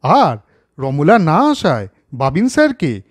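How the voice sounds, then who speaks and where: A man's voice: fairly high pitch, huge pitch swings, slow, dry recording, clean studio sound. A man narrating a moral cartoon story, speaking directly and in a friendly way to children.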